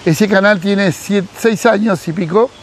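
A man's voice: high voice, unhurried, slight background noise. A middle-aged Argentine man from Mar del Plata, a fish breeder, giving casual advice outdoors in a garden and talking animatedly with his hands. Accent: Argentine Spanish, Mar del Plata. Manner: animated, gesturing, acts out quoted dialogue. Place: outdoors in a sunny garden by a pool. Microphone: camera at close range.

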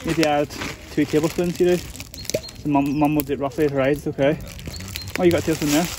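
A man's voice: fairly high pitch, steady tone, fairly quick, background noise. A Northern Irish man hosting a casual outdoor cooking demo, talking through each step in a chatty way. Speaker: host of a wild camping channel. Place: outdoors at a camp.

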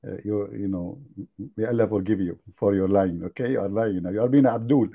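A man's voice: lowish voice, moderate pace, vocal fry, breathy edge, narrow, tinny audio. A man hosting a livestream, joking and mocking as he talks and laughing at his own jokes. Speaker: online religious debate host. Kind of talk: casual livestream commentary.

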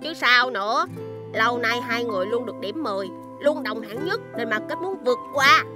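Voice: medium pitch, lively intonation, fairly quick, natural voice. A voice actor playing a mischievous, teasing twin schoolboy who gossips in a Vietnamese cartoon. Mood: gossipy. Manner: teasing, exaggerated storytelling. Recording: children's music behind the voice.

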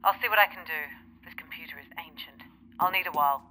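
An actress playing a brisk, tech-savvy spaceship pilot in an audio drama. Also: female voice, lowish voice, bright, forceful tone, slight background noise, rough recording. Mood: brisk, dry. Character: brisk, technically savvy female pilot on comms.

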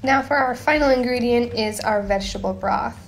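A young woman hosting her own home cooking show, talking through each step in a relaxed, chatty way with small asides. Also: on the high side, a little fast, creaky. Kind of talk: casual cooking voice-over. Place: home kitchen.

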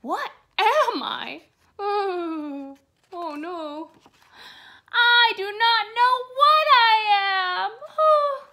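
A woman's voice: very high voice, lively intonation, extremely slow, pushed and bright, pitched above the performer's own voice, full character voice. A woman voicing an unsure, anxious little blade of grass in a children's storybook, hesitant and plaintive. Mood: distressed.

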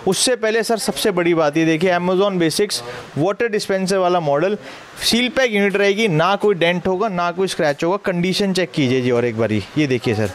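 A man with a Delhi Hindi accent and a high voice gives a brisk, persuasive sales pitch. He walks through a big electronics warehouse indoors and keeps calling the listener sir. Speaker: shop salesman.

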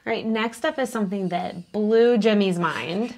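A young woman from the United States chimes in with brisk, practical tips, her voice often dropping into a gravelly fry. She speaks casually into a clip-on mic in a quiet room. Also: medium pitch. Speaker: travel vlog co-host. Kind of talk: casual food recommendations to camera. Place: indoor home room with plants. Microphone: lapel mic.

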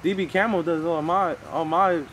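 Male interviewer, slightly high voice, slangy and swearing, encouraging, faint outdoor noise. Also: steady tone, normal speed, a bit bright. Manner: profane, encouraging. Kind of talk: casual slangy chat interview. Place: outdoor backyard, off camera.

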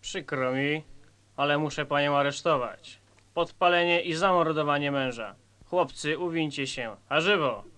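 A man's voice: on the high side, moderate pace, pushed and bright, clean tone. A man acting a stern, smug police commissioner in a satirical radio play, curt and sardonic. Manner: curt, sardonic, self-assured.

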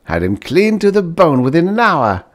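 Narrator doing an earnest husband in an old story, eager n proud, raised pitch, really bouncy. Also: male voice, big pitch swings, moderate pace.

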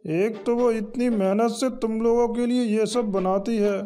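A man's voice: sits high, steady tone, a little slow, mellow, slight background noise. A man voicing a wry, placating older father and husband in a Hindi cartoon. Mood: admonishing. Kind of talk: Hindi animated comedy dialogue.

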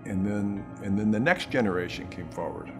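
A man's voice: on the low side, moderate pace, over music. A middle-aged or older man talking casually in a documentary interview.

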